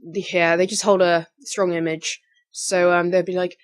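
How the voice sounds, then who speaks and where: A woman's voice: on the low side, flat delivery, a little slow. A young adult woman, slightly tired, talking in a rambling, informal way as she answers questions about her hobby. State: slightly tired, recently woken. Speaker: amateur voice actor. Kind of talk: casual question-and-answer vlog.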